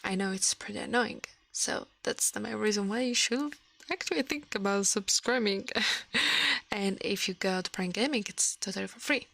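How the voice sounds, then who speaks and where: A woman with an Italian accent speaking softly in an ASMR livestream, often whispering, close to the microphone. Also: mid-range voice, normal speed, no room sound. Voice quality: soft, breathy, often whispered. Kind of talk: ASMR, soft-spoken and whispered storytelling and chat.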